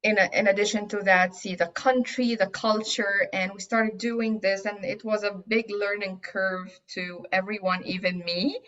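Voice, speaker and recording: A woman's voice: medium pitch, steady tone, moderate pace, few pauses, a bit bright. A woman whose first language is Arabic, from Egypt, answering interview questions in a casual, hesitant way with many fillers and restarts. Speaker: guest aromatherapy teacher. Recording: dull lo-fi audio.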